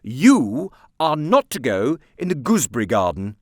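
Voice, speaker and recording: A man voicing a strict, haughty aunt in a storybook reading, with a sharp, lofty, emphatic manner. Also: mid-range voice, very animated, moderate pace, no echo, clean studio sound, pitched above the performer's own voice. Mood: sharp asperity. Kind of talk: storybook character voice in audiobook reading.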